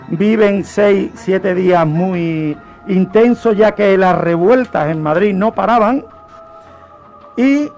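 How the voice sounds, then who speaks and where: A man's voice: high voice, a little mellow. A man presenting a history segment on the radio, telling it like an emphatic lecture full of rhetorical questions and repetition.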